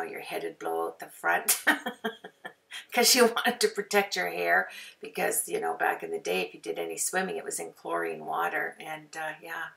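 A woman with a raspy voice from a sore throat, narrating a card-making tutorial as a chatty, rambling voice-over. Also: deep voice, bright, forceful tone, distant mic. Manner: chatty, rambling, with frequent fillers. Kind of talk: casual voice-over for a craft video.

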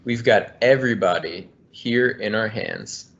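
Um homem de uns trinta anos, engenheiro ambiental, lendo um livro infantil em voz alta, de forma amigável e cadenciada, numa chamada de vídeo. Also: male voice, on the low side, unhurried, creaky. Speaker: environmental engineer. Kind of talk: reading a children's book aloud. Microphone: laptop webcam on video call. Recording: muffled video call audio.

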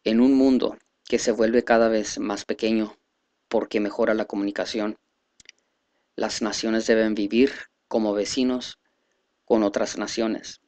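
A man reading a speech aloud in a halting, careful way, stumbling over words now and then. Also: on the low side, steady tone, darkish tone. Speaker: reader presenting a selected speech.

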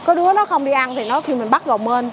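High-voiced Southern Vietnamese stall owner. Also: female voice, animated, very fast, loud music behind the voice. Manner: chatty, lists dishes one after another. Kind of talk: casual interview chat. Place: busy indoor market food stall.

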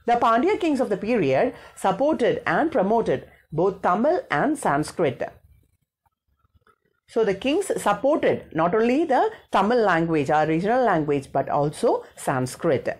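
A woman's voice: mid-range voice, very animated, a little slow, slightly rough. A woman with a South Indian accent giving an explanatory school history lesson, partly read out, repeating and rephrasing key points in a didactic way.

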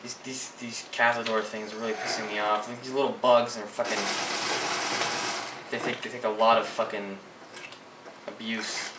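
A young man talking over his gameplay, often cursing and shouting in frustration. His voice comes from a distance in an echoey room, with clear background noise on the recording. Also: lowish voice, flat delivery, moderate pace, long pauses. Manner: profane, frustrated outbursts at the game. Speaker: gameplay commentator. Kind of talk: live gaming commentary. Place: indoors at a computer.

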